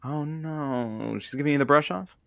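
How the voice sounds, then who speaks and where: A woman playing a wry, nosy friend with teasing, deadpan quips in a casual audio drama. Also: low-pitched, moderate pace, creaky, no room sound, studio quality. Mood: curious, teasing.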